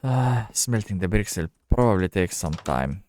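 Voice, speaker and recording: A man giving casual, low-key game commentary, thinking out loud in halting phrases as he plays. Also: expressive, no echo, clean studio sound. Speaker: gamer narrating own playthrough.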